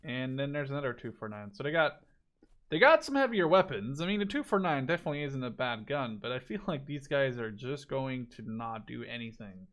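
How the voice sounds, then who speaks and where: A man's voice: medium pitch, a bit bright. A man giving casual, unscripted spectator commentary on a video game, thinking aloud in a rambling, speculative way.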